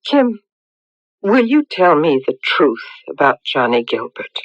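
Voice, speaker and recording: A woman's voice: low voice, very animated, a little slow, dry recording. An actress playing a stern, anguished middle-aged mother in a radio drama. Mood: probing, worried. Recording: dull, dry vintage radio recording.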